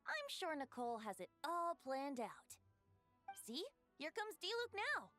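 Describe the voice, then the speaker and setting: An actress playing an easygoing young adventurer in a video game, teasing and lighthearted. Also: female voice, high voice, sing-song, measured pace, pushed and bright, soft background music, full character voice. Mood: easygoing, curious. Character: easygoing, playful young female traveling adventurer.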